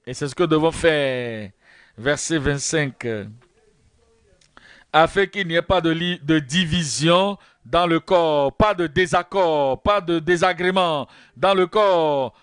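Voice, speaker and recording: A man preaching at a Bible study, repeating verse references and key phrases in a slow, measured teaching manner. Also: fairly high pitch, a bit bright, clean tone, no room sound, studio quality. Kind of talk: sermon.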